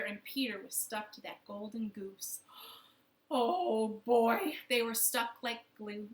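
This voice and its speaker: Female children's storyteller: higher-pitched, very lively intonation, unhurried, expressive, roomy home recording. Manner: expressive, interactive, addressing young listeners. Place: living room at home. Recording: roomy, echoing home living room.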